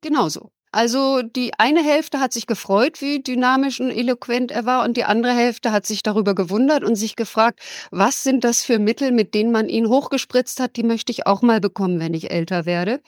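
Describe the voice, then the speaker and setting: A woman's voice: on the high side, moderate pace, no room sound, clean studio sound. A woman correspondent answering interview questions in an emphatic, opinionated and wry way. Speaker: correspondent in the USA. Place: USA.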